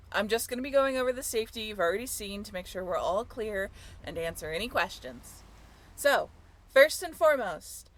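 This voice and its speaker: A woman's voice: a little high, a bit bright. A woman playing an upbeat young tour guide on a sailing ship in a scripted audio roleplay, with a chatty, playful way of talking. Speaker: role-play voyage guide.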